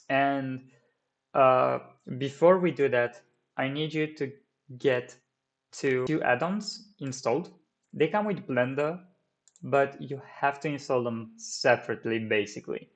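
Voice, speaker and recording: A man whose first language is Romanian, walking viewers through a 3D modelling tutorial at his desk, with many fillers and restarts. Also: mid-range voice. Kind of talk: screen-recorded software tutorial.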